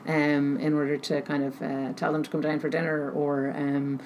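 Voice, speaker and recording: A woman answering interview questions thoughtfully, pausing often as she searches for her words. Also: low-pitched, little pitch movement, darkish tone. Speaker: interview guest, technology researcher. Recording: noticeable background noise.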